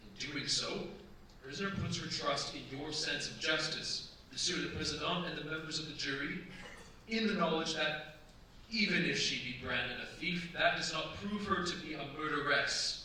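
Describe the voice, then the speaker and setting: A man's voice: fairly high pitch, moderate pace, sharp tone. A man playing an earnest young defence lawyer with formal, oratorical delivery in a staged radio play. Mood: formal, impassioned. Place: staged performance in a cultural centre. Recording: echoey hall, distant mic, stage noise.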